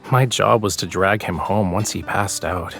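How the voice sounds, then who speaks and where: An actor playing a playful young male artist in a romance game, speaking in a soft, languid, teasing way. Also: low-pitched, normal speed, creaky. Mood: wistful. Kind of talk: romance game character dialogue. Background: background music with guitar.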